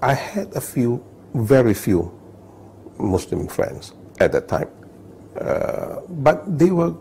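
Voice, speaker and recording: A man in his fifties from Malaysia, sitting indoors, telling his life story thoughtfully with many pauses and "all right?" checks. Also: medium pitch, sing-song, creaky, mellow, slight background noise. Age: 50s. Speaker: interviewee. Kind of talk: personal testimony, unscripted. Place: indoor living room, seated on sofa.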